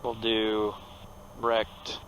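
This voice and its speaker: A man's voice: lowish voice, completely flat delivery, very slow, fairly bright tone, muffled recording, slight background noise. A man who is a pilot, casually talking through technical steps in a cockpit as he flies. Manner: technical, step-by-step. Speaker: pilot and flight vlogger. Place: cockpit of a turboprop plane in flight.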